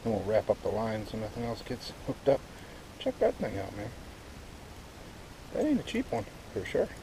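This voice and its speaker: A man's voice: lowish voice, wildly swooping pitch, moderate pace, rough recording. A man in his thirties vlogging from a kayak on a wooded river, musing aloud in a laid-back, casual way while paddling, picked up by a boat-mounted action camera. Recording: loud water and wind noise from action camera. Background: water splashing and paddling.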